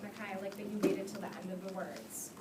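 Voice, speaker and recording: A woman's voice: medium pitch, fast talker. A woman teacher reading aloud to young children and asking them questions, instructive and full of praise, recorded from a distance in a classroom. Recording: echoey noisy classroom, distant microphone.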